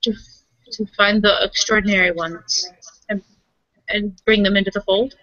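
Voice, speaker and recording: A woman with a bright, crisp-edged voice speaks casually in character at a tabletop game. Her pitch sits in a middle range. The recording is a little dull and lo-fi. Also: steady tone, moderate pace, dry recording. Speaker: tabletop roleplaying player.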